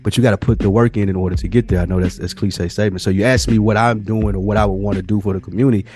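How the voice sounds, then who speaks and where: A man's voice: fairly low pitch, fairly even intonation, racing, darkish tone. An American man co-hosting a podcast, talking in an earnest, motivational way with casual, slangy phrasing. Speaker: podcast co-host.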